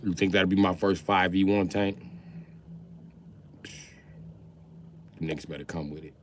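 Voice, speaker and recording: A man's voice: low-pitched, fairly even intonation, unhurried, noisy background. A middle-aged man livestreaming a profane, boastful comedic rant.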